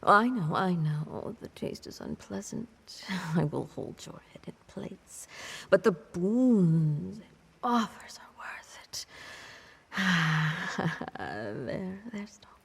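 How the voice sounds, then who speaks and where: Woman acting a slow, creaky, breathy vampire mistress. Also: on the low side, expressive, heavily acted. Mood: coaxing, dark. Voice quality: breathy, often whispered, creaky. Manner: slow, theatrical, imperious. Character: commanding, sinister vampire mistress, possessive and darkly tender. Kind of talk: breathy audio roleplay monologue to listener.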